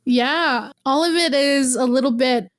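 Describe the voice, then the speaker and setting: A young woman from Canada, a guest in an interview, telling stories in a lively, teasing way and laughing often. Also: high-pitched, measured pace. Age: young adult. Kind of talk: casual interview.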